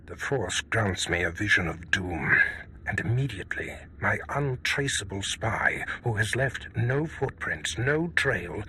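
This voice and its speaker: An actor playing a paranoid, commanding Sith lord in a video game, speaking gravely and formally. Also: male voice, lowish voice, sharp tone, a little hiss or hum, heavily acted. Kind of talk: dramatic video game acting.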